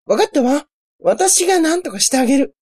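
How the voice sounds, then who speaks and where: Woman as cheerful little witch girl, high and lively. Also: fairly quick. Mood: confident, helpful. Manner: cutesy, girlish, exclaiming. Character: cheerful, helpful young witch girl in training. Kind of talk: comic amateur radio drama acting.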